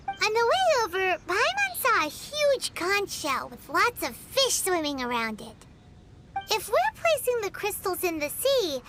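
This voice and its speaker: A woman voicing a small, excitable, chirpy fairy companion who talks bossily in an anime-style video game. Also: extremely high pitch, noisy background, heavily acted. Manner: chirpy, bossy, exasperated. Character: small excitable childlike floating fairy companion, female, talks in third person. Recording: studio voice under game music.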